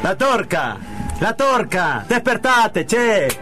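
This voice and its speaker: Casting: male actor as exasperated Rioplatense husband, dramatic and grumbling, wildly varied pitch, radio comedy. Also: sing-song, slow, over music, full character voice. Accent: Argentine Rioplatense. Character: exasperated, loud working-class husband and father. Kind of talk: comic radio play acting.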